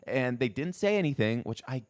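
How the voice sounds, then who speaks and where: A man's voice: medium pitch, expressive, fairly quick. A man co-hosting a podcast in casual chat, rambling and slangy, leaning often on "like". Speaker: podcast co-host.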